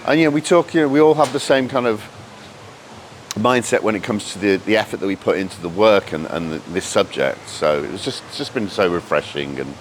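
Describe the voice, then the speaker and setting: Man, low croaky voice, hoarse, casual vlog talk, laid-back, noticeable background noise. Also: expressive, brisk, rough recording. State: hoarse from days of talking. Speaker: vlogger and convention guest speaker. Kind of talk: casual vlog narration to camera.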